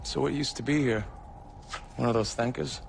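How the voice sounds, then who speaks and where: An actor voicing an impatient, exasperated man in a video game, curt and gravelly. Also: medium pitch, steady tone, moderate pace, noisy background, close to an everyday voice. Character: impatient, exasperated male newcomer. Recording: music behind the voice.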